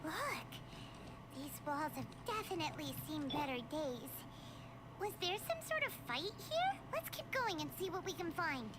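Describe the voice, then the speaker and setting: A woman's voice: high voice, very animated, normal speed, very noisy. A woman voicing a small, childlike creature companion in a video game, timid and chirpy, talking about herself in the third person. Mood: curious.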